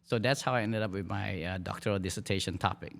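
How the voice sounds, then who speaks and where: A middle-aged man from Malaysia, a dean in hospitality, talking in a relaxed, halting way with fillers and restarts in a podcast interview. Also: fairly low pitch, vocal fry.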